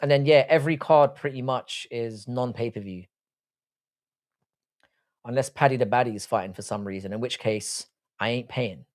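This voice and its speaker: A British man in his forties hosts a live chat at a middling pitch. He talks casually and bluntly, answering comments as they come. His manner is crude and jokey, full of everyday slang. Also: normal speed, long pauses. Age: early 40s. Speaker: livestream host.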